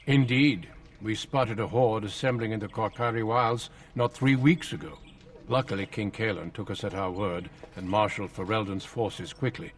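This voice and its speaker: An actor playing a grave, courteous warrior recruiter in a fantasy game, speaking formally and solemnly. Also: male voice, lowish voice, normal speed, recorded from a distance, close to an everyday voice.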